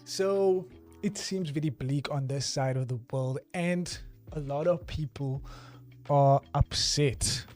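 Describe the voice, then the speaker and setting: A man's voice: a little high, slow pace, a little mellow, soft background music. A South African man in his twenties, chatting casually on a livestream in a joking, rambling way and talking straight to his chat. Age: 20s. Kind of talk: casual live stream chat.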